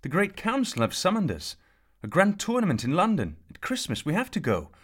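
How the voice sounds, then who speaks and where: A man's voice: medium pitch, big pitch swings, moderate pace, slightly rough, voice pushed up. A man voicing a whiny, boastful teenage squire who keeps complaining. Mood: wailing. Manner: whining, complaining.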